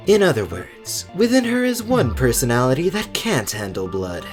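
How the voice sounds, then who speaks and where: A woman playing a cold, haughty teenage boy in an anime game fandub, measured, condescending and disdainful. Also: very deep voice, very animated. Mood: cool, explanatory. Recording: loud music behind. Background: music.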